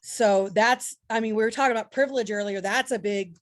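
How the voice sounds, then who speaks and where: A woman's voice: mid-range voice, fairly quick. A woman taking part in a workshop video call, speaking hesitantly and searching for her words. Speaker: workshop participant. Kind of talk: casual sharing on a video call.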